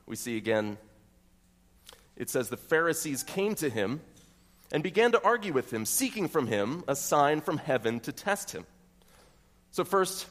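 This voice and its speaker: A man's voice: mid-range voice, in a large hall. An American man from central Pennsylvania preaching an expository sermon as pastor, earnest and methodical, often posing rhetorical questions. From central Pennsylvania, USA.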